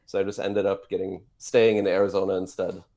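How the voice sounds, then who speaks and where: A man's voice: sits low, brisk. Man chatting from afar. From Norway. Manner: relaxed, chatty storytelling. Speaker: guest musician and guitar teacher. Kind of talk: casual radio interview by phone. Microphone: phone line.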